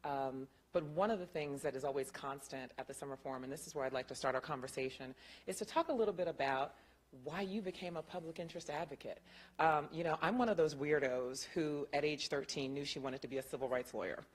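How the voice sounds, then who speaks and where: Middle-aged woman moderator, low voice, chatty onstage interviewer. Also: fast. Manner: chatty, laughing, self-deprecating asides. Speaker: moderator of a panel conversation. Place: event room before an audience. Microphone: handheld mic, at first off and from far away. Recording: distant, quiet pickup from across the room.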